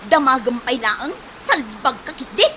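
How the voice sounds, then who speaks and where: A woman's voice: on the high side, animated, a little slow, noisy background. A woman acting a vain, irritable woman in an Ilocano comedy drama, with an Ilocano accent and a wailing, snappish way of complaining. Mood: irritated, threatening. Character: vain, irritable woman hurt falling in high heels.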